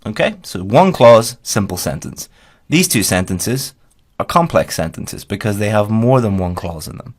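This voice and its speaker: A man's voice: fairly low pitch, lively intonation, normal speed. A man from Ireland, teaching a lesson over slides in a direct, explaining way that repeats key points.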